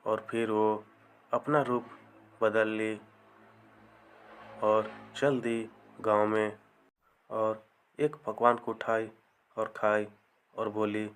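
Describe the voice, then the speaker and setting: A man narrating a scripted comic folktale as a storyteller, in measured short phrases. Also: lowish voice, level tone, a little hiss or hum.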